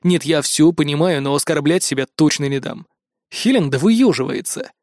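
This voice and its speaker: A narrator plays a self-assured male police captain in an audiobook. The delivery is firm and sardonic at a medium pitch. The recording is dry and close. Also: expressive, normal speed, a little mellow, dry recording, natural voice. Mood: exasperated.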